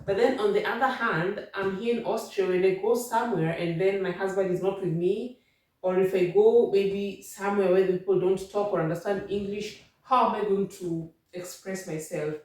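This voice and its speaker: Kenyan woman, casual home vlogger, patient and repetitive; boomy echoing room, off-mic. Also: far from the mic. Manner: repetitive, restarts and rephrases, patient explaining. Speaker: vlogger giving language-learning tips. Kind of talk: casual advice talk.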